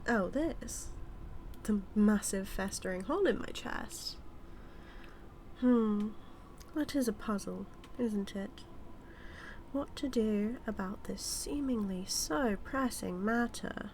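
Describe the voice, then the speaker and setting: A woman's voice: medium pitch, slow pace, a little mellow, a little hiss or hum, poor audio. A British woman playing a smug, scheming supervillain in an audio roleplay, taunting her nemesis with a theatrical, drawling delivery that dips toward whispers. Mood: smug, teasing. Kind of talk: scripted villain monologue roleplay, spoken to the listener.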